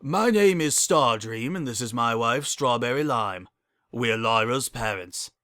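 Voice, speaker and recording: A courteous noble unicorn stallion played by a man in a story reading. He speaks in a stately, formal way with a bright, expressive voice. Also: mid-range voice, measured pace.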